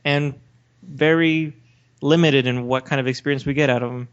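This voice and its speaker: A man's voice: mid-range voice, steady tone, brisk, clear, resonant tone. A man co-hosting a casual podcast, talking in a challenging, argumentative debate style. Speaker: podcast co-host. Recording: slightly dull, lo-fi audio.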